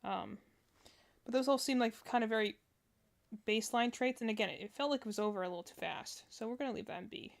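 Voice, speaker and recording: A woman's voice: a little high, fast talker, creaky. A woman hosting a casual reaction livestream, thinking aloud in a rambling way. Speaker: streamer, reaction host.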